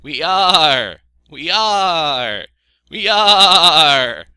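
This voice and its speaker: Man doing loud, high, sharp friendly sheep. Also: animated, smooth, no room sound, clean studio sound, full character voice. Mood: chanting. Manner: loud, drawn-out. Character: noisy, friendly flock of female sheep.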